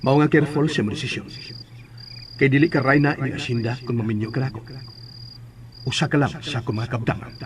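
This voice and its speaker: An actor playing a smug, possessive hacienda heir in a Filipino radio drama, domineering and full of drawn-out taunts. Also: male voice, medium pitch, steady tone, lightly acted. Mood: smug, threatening. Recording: music bed behind.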